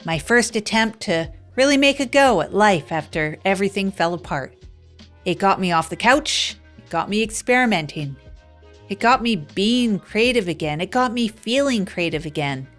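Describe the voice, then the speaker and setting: A woman's voice: mid-range voice, lively intonation, moderate pace, a bit bright, soft background music. A woman playing a fictional small-town radio host, rambling through a self-deprecating improvised comedy monologue. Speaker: radio show host character.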